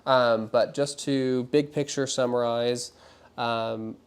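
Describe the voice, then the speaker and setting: A man with an average-pitched voice speaks as a physical therapist in a casual consultation. He explains step by step, softening his points with qualifiers and reassurance. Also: steady tone, slow, clear, resonant tone.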